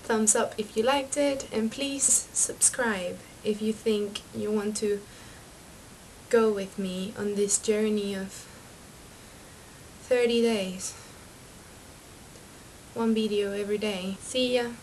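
A young woman from Venezuela, chatty and excitable, talking to her webcam about books in a small bedroom. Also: medium pitch, slow pace, long pauses, a little hiss or hum, low-quality recording. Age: young adult. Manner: chatty and excitable, laughs at her own slips. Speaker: book vlogger. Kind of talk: casual chat to camera. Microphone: webcam mic.

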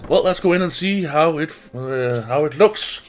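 A man's voice: a little high, expressive, faint music behind. A man giving casual, exclamatory gameplay commentary with frequent swearing as a let's play host. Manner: exclamatory, often swearing, drawn-out words.

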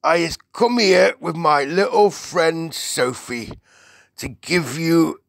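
A storybook reader voicing a friendly, childlike giant who speaks in effusive, halting, ungrammatical bursts. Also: medium pitch, gravelly, no echo, clean studio sound, pitched above the performer's own voice. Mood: effusive, awestruck. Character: friendly giant, eager, reverent, childlike, muddling his words.

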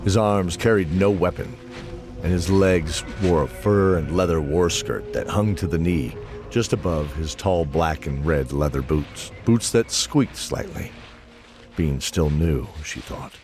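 A man with a low voice narrates a fantasy audio drama. He reads scripted descriptive prose over clearly audible music. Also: measured pace.

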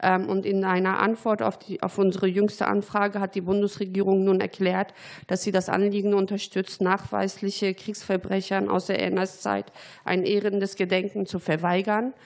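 A woman's voice: mid-range voice, flat delivery, moderate pace, creaky. A woman member of parliament with a cold, putting formal, prepared questions to an expert in a committee hearing. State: has a cold.

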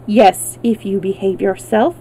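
A woman voicing an unemotional male wind-up machine in a storybook, blunt, measured and matter-of-fact. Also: mid-range voice, steady tone, dark tone, breathy edge, rough recording.

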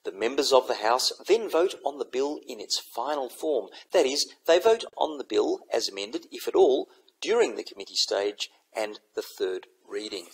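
A man giving a recorded lesson, explaining in a measured, methodical way. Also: medium pitch, sing-song, gravelly, breathy edge, low-quality recording. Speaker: teacher. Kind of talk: recorded lecture over slides.

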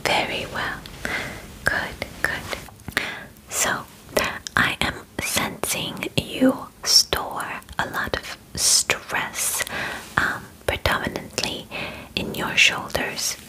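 A female alien examiner acted out by a woman in her twenties speaks in a soft whisper, very slowly and deliberately. She is calm and clinical, giving formal instructions up close in the manner of an ASMR roleplay. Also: low-quality recording. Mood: calm, methodical. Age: 20s. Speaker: ASMR performer. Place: bedroom, indoors. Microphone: close microphone.

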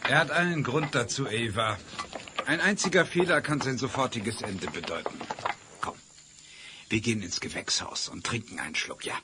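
An actor playing a suave, sinister orchid grower in a radio play, theatrical, with noticeable noise behind him. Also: male voice, mid-range voice, lively intonation, moderate pace, close to an everyday voice. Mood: silky, musing. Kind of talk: German radio play acting.

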